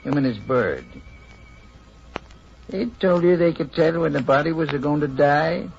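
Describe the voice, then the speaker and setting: An actor playing a sceptical old sea captain with gravelly, folksy sailor talk in an old-time radio drama. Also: male voice, a little high, unhurried. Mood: puzzled. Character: salty, sceptical old captain running tattoo salon. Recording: muffled vintage radio recording with music.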